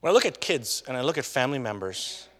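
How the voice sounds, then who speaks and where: A man's voice: mid-range voice, big pitch swings, fast, fairly bright tone. A man preaching a sermon in a casual, conversational way, often tagging his points with questions to the congregation. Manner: conversational, tag questions, restarts. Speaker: pastor. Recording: long reverb of a hall.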